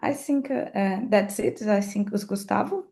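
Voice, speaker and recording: A woman from Brazil, a professor, introduces herself on a video call. She keeps to a medium pitch and stops to correct herself. Her speech is full of pauses. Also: creaky, warm tone. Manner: hesitant, with many fillers and self-corrections. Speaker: professor and herbarium curator. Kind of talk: self-introduction on a webinar.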